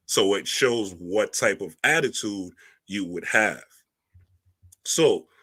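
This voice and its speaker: A man's voice: lowish voice, sharp tone. A man giving a casual spiritual monologue, emphatic and preachy, using streetwise slang and checking in with "right?" often. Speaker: spiritual reader and speaker.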